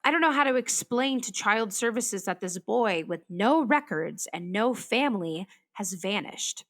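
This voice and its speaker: A woman's voice: mid-range voice, lively intonation, unhurried, a bit bright. An actress playing a reflective female meteorologist who chases storms, thoughtfully confiding in a hesitant, wondering monologue for a radio play. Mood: wistful, puzzled. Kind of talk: confessional monologue in an audio drama.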